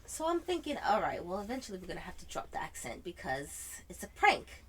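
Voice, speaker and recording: A woman telling an animated anecdote in casual chat, theatrically acting out dialogue with a put-on British accent. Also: mid-range voice, brisk, slightly rough. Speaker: storyteller.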